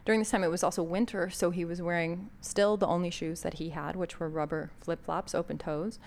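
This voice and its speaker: A woman's voice: on the low side, steady tone, fairly quick, gravelly, a little mellow, a little hiss or hum. An American woman hosting a podcast, introducing an episode in a thoughtful, conversational way with frequent fillers. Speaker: podcast host.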